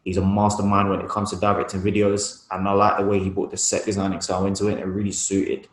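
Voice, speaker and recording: A man's voice: low-pitched, monotone, fast. A young man with a London accent talking casually and informally about his work as a photographer in an interview. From East London, UK. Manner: informal, slangy. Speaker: guest photographer. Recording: roomy, echoey room sound.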